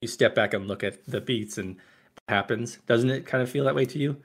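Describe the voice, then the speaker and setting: A man's voice: medium pitch, brisk, breathy edge. A man co-hosting a podcast, chatting casually about films and often checking for agreement as he goes. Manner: chatty, often seeks agreement with tag questions. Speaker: podcast co-host and author. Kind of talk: casual film discussion.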